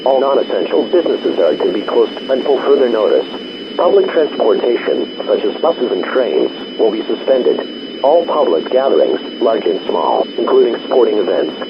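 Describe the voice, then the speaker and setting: A man's voice: mid-range voice, very animated, normal speed, long pauses. A man reading a formal scripted emergency announcement in an official, impersonal manner. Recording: dull lo-fi emergency broadcast audio.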